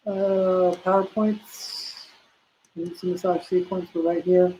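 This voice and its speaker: Casting: lower-voiced woman instructor, casual and rambling, webcam class feel. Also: flat delivery, slow pace, dark tone, a little hiss or hum, rough recording. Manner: chatty, many fillers, talks to herself while clicking slides. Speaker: prenatal massage instructor. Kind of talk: live online class, casual teaching.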